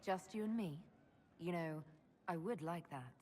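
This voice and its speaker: A woman playing a composed, patient and gently indulgent female leader in a video game, speaking in measured, restrained phrases. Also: on the low side, a little hiss or hum, close to an everyday voice. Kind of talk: video game character dialogue.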